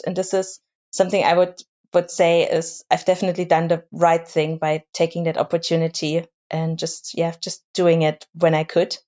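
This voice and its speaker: A woman answers interview questions with thoughtful, halting phrasing, often repeating words as she goes. Her voice sits a little low for a woman. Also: a little mellow, dull audio. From Germany. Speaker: guest. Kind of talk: casual interview chat.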